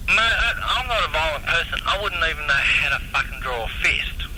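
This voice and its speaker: A man's voice: on the high side, lively intonation, brisk. An Australian man ranting argumentatively over CB radio, swearing often and repeating himself. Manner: argumentative, profane, repetitive. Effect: CB radio transmission. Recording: noisy CB radio transmission.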